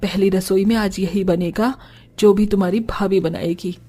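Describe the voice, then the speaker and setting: Woman playing an overworked young daughter-in-law, mellow, husky. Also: medium pitch, fairly even intonation, normal speed, faint music behind, natural voice. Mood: obliging. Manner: weary, complaining yet compliant. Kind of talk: Hindi cartoon story dialogue.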